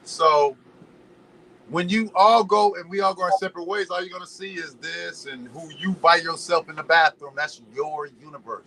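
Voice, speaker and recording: Guest, male, bright forceful voice, animated slangy storytelling, slight hiss behind. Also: a little high, normal speed, rough recording. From Los Angeles. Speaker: podcast guest. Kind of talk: casual chat.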